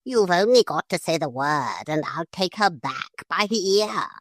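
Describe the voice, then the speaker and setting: A woman's voice: lowish voice, animated, measured pace, no echo, clean studio sound. A woman voicing a fussy, formal male parrot who scolds and threatens politely. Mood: prim scolding. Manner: prim, formal, pompous.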